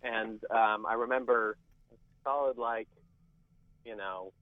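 A man's voice: fairly low pitch, fairly even intonation, slow pace, no echo. Young man recalling memories over the phone. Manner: reflective storytelling. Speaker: caller. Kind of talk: call-in radio talk. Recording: tinny phone line.

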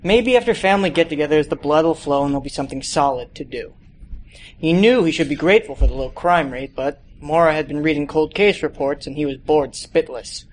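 A man's voice: on the high side, a little fast, slight background noise, low-quality recording. A young man reading a detective short story aloud as scripted narration. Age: young adult.

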